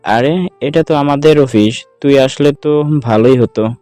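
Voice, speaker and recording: A man's voice: medium pitch, normal speed, mellow, dry recording, faint music behind, close to an everyday voice. A man playing an easygoing young newlywed husband in an audio story drama. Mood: surprised, agreeable.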